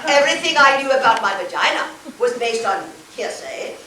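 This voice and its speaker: An actress playing an earnest, awkward, wonder-struck woman in a theatrical stage monologue, savoring her words with deliberate pauses. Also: fairly high pitch, expressive, natural voice. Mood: wry, confiding. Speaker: stage actor. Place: college theater stage before an audience. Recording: echoey theater, distant mic, noisy hiss.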